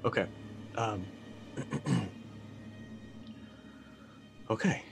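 A man's voice: medium pitch, drawn-out words, creaky. A middle-aged man playing a tabletop roleplaying game, describing his character's actions casually, in a hesitant, thoughtful manner. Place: indoor room, video call. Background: music.

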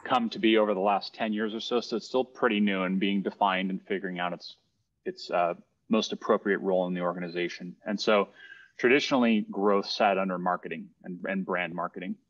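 Very deep-voiced man on a dull call. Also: no room sound. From Los Angeles. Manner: relaxed, halting with "uh" and repeated words. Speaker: webinar co-host. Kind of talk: explaining to a webinar audience. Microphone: video call. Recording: dull, dry online call audio.

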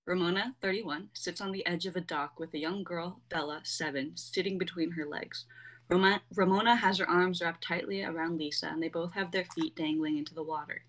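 A young woman narrates a script, reading the stage directions aloud. Her voice sits slightly lower than most women's. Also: fairly even intonation, fairly quick, fairly bright tone, poor audio. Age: young adult.

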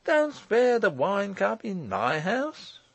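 A man's voice: a little high, wildly swooping pitch, takes it slowly, no room sound, voice pushed up, full character voice. A man voicing a sullen, evasive, nervous householder in an audiobook, hesitant and stammering as he schemes and blusters. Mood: falsely hearty. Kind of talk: audiobook character voice.

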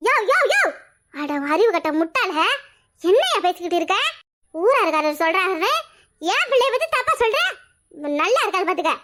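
An actress playing a sharp-tongued, protective middle-aged mother who scolds and flares up fast. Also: very high-pitched, very animated, exaggerated voice. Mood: indignant.

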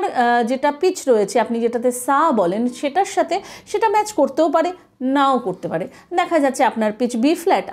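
Casting: female music teacher, thirties, Indian Bengali accent. High, mellow voice, explains step by step. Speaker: music teacher, channel host. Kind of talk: explanatory vocal lesson to camera.

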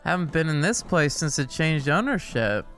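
A woman's voice: low voice, big pitch swings, moderate pace, soft background music. A woman playing a polite, slightly awkward tarot customer in an audio drama, hesitant and conciliatory. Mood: easygoing. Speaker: actor. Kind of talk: naturalistic audio drama acting.

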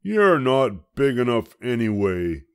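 An older man voicing a small grouchy ladybug in a children's storybook, loud and brash, always spoiling for a fight. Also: mid-range voice, very animated, slow pace, clean tone, no room sound, clean studio sound, voice pushed up. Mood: dismissive.

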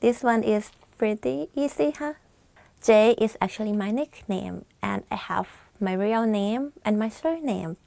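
A woman's voice: mid-range voice, animated, moderate pace, dark tone, no echo. A young woman from Thailand with a Thai accent, teaching phrases in a scripted video lesson on a lapel mic in a studio. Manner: clear, careful phrase-by-phrase teaching. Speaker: language teacher. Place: indoor studio against a plain wall.

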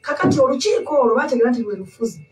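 A woman's voice: fairly high pitch, animated, rapid-fire, recorded from a distance, music in the background. A Ugandan woman in her thirties explaining points at length in a studio interview, mixing in English terms. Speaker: guest analyst. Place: indoor studio. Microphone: studio mic on stand. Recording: echoey room, voice off-mic.

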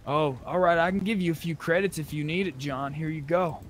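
Guy with a kinda high voice helping people out in a game, bit of background noise. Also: brisk. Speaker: player guiding newcomers in online roleplay game. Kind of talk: casual chat while roleplaying in a video game.

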